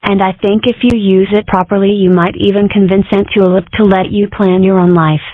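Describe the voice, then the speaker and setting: A woman's voice: medium pitch, steady tone, brisk, no room sound, natural voice. Woman voicing a matter-of-fact cartoon girl, phone-like sound. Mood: calm. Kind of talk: comic strip dub. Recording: muffled phone-like band.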